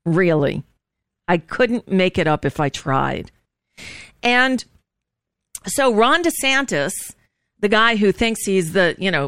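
A woman hosts an informal live political talk show. Her voice is clear and medium in pitch, in a dry room. She rambles and comments bluntly, with a sardonic edge. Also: no echo.

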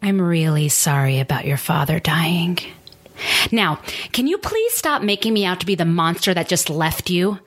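A woman's voice: lowish voice, very animated, normal speed. A woman playing a bitter, wounded ex-partner in a dramatic reading of breakup messages. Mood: hurt, pleading. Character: bitter ex-partner feigning civility after a breakup.

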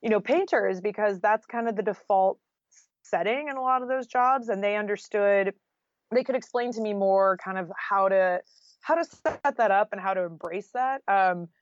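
A woman's voice: mid-range voice, normal speed, dry recording. Female interview guest, American, candid and informal, conversational delivery, dry and slightly muffled recording. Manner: candid, informal, peppered with "like" and "you know". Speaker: guest, freelance journalist.

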